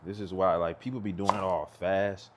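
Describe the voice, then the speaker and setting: Laid-back deep-voiced man in his thirties. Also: level tone, normal speed, noisy background. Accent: Texan. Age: 30s. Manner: laid-back, rambling, slangy. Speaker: cooking vlogger. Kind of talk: casual chat while cooking. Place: home kitchen.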